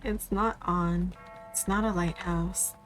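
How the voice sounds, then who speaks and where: A woman's voice: on the low side, fairly even intonation, slow. A woman streaming a game, giving casual, playful live commentary and reacting aloud. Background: game music.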